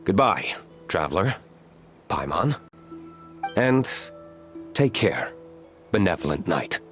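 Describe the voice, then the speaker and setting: An actor playing a reserved, coolly stern gentleman in a video game, speaking in a formal, composed way. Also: low voice, sing-song, takes it slowly, soft background music, full character voice. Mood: curt.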